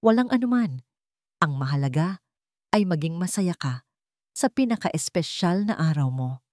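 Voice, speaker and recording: Woman narrator as a steely, composed wronged wife, lowish voice. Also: expressive, normal speed, a little mellow, no echo, clean studio sound, close to an everyday voice. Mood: composed, sweet. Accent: Filipino.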